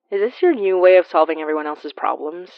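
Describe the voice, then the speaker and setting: Slow, low-voiced wry woman. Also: no echo, muffled recording. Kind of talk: naturalistic audio drama dialogue.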